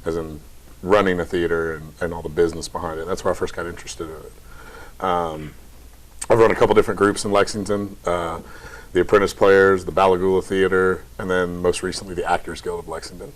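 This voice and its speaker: A young man from Kentucky with a very deep voice, chatting casually in a TV interview as a theater director. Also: fairly quick, low-quality recording. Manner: relaxed, chatty, light laughs.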